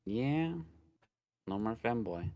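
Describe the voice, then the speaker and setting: A man's voice: lowish voice, lively intonation, slow, darkish tone, no echo. A man reading a visual novel aloud on a stream and chatting casually about it, with crude, slangy joking. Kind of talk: casual playthrough chat mixed with reading aloud.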